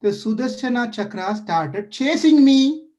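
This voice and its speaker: A man's voice: very high-pitched, animated, a little slow, mellow, lo-fi sound, pitched above the performer's own voice. A man voicing a frightened, hot-tempered old sage who pleads for help, in a devotional story. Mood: fearful, pleading. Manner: pleading, fearful.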